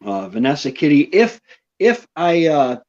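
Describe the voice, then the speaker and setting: A man's voice: on the high side, sing-song, a little slow, a little mellow, dull audio. A man hosting a homestead live stream in casual chat, easygoing and repeating words as he thinks aloud.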